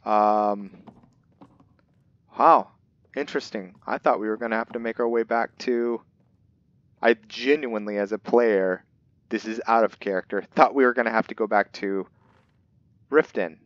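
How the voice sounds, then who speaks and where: A man hosting a game playthrough, musing and reading aloud in a halting, casual way. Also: on the low side, fairly even intonation, no room sound, lo-fi sound. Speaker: gaming video host.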